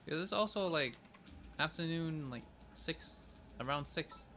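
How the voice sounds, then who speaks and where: A man's voice: on the high side, animated, measured pace, sharp tone. A man doing casual livestream gameplay chat, thinking aloud with playful, childish wording. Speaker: game streamer. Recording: faint background noise.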